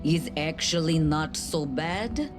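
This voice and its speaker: A woman's voice: deep voice, lively intonation, takes it slowly, over music. A woman playing a wry, skeptical game character with a casual, doubting manner. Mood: doubtful. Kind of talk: scripted game cutscene acting.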